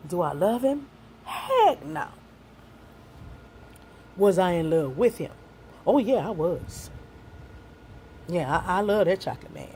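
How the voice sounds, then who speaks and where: A woman's voice: fairly low pitch, very animated, slow, pauses often, a little mellow, a little hiss or hum. A woman in her sixties, a single mother and grandmother, giving young people earnest, folksy life advice that mixes faith with plain street talk.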